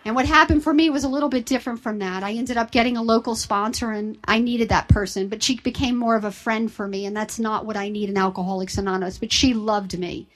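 A woman's voice: on the high side, steady tone, quick pace, hardly any pauses. A woman, sharing her personal recovery story with an audience in a candid, self-deprecating way. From Southern United States. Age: 50s.

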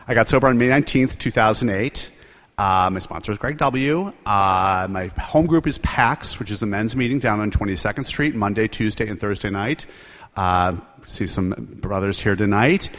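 A man speaking earnestly at a recovery meeting, sharing his story with lively asides. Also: fairly low pitch. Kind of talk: personal share at a meeting.

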